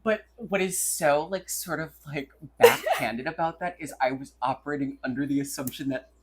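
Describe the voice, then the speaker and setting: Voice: mid-range voice, normal speed. A podcast co-host talking in casual comedic banter, with a rambling, irreverent, slangy manner. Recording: faint air conditioner hum in background.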